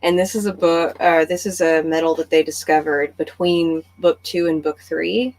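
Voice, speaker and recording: A woman's voice: low voice, fairly even intonation, moderate pace, a little mellow, rough recording. A woman hosting a casual book club discussion, talking conversationally and thinking aloud as she goes.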